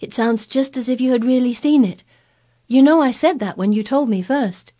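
A woman voicing an anxious, excitable sickly young boy in a storybook reading, eager and pleading. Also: a little high, moderate pace, pitched above the performer's own voice, natural voice.

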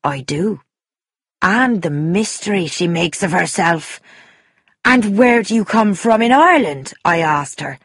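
A woman's voice: on the low side, expressive, a little slow, slightly rough, no room sound, clean studio sound. A woman voicing a gossipy, confident Irish woman in an audiobook, chatty and emphatic. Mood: confiding. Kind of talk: audiobook character dialogue.

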